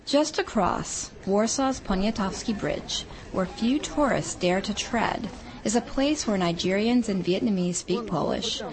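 A woman radio reporter reading a scripted feature report in a measured, descriptive way. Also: mid-range voice, lively intonation, a crowd in the background, poor audio. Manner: measured, descriptive storytelling delivery.

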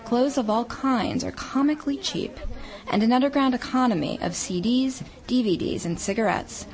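A woman radio reporter reading a scripted feature report in a measured, descriptive way. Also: fairly high pitch, a little mellow. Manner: measured, descriptive storytelling delivery.

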